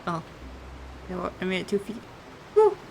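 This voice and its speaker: A woman's voice: fairly low pitch, very animated, fairly quick, gravelly, a little mellow, a little hiss or hum, low-quality recording. A woman streamer giving casual, giggly, exclamatory reactions while playing a video game. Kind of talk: casual gameplay commentary and reactions. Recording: faint game music behind.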